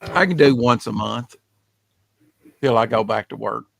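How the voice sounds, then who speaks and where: A male podcast host with a slightly raspy voice, chatting casually in a rambling way, full of fillers and trailing off. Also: mid-range voice, measured pace, a bit bright. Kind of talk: casual chat.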